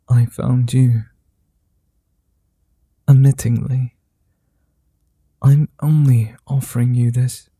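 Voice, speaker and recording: A man voicing a flirty, tender male vampire in an ASMR roleplay, speaking slowly, softly and formally, often in whispers, close to the mic. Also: mid-range voice, vocal fry, husky, dry recording, heavily acted. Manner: slow, soft and often whispered; old-fashioned formal speech.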